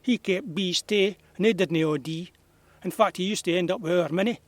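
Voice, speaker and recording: High-pitched, grumbling old Scottish beekeeper played by an actor. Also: male voice, normal speed, no echo, natural voice. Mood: indignant. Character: gruff, wistful old male beekeeper, stubborn and doting. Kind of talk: naturalistic audio drama dialogue.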